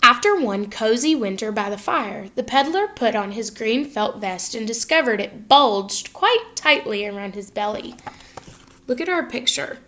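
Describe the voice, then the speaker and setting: Lady teacher doing a storybook read aloud, high voice, expressive, explains stuff to kids. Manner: expressive, instructive, pausing to question listeners. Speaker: teacher reading to students. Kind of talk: children's picture book read-aloud.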